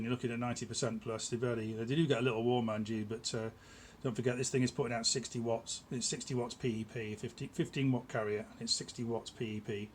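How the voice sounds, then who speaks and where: A middle-aged man giving a casual, informal product demonstration in a small indoor workshop, explaining haltingly and repeating words for emphasis. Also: mid-range voice. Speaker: electronics builder presenting their own product. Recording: clear background noise in a room.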